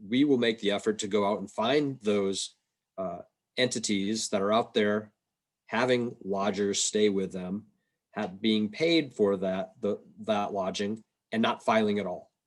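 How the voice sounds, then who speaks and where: A man with a fairly low voice talks through a presentation on a video call. His delivery is informal and explanatory, with a halting, plain-spoken way of laying things out. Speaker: state revenue agency policy presenter. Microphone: video call microphone.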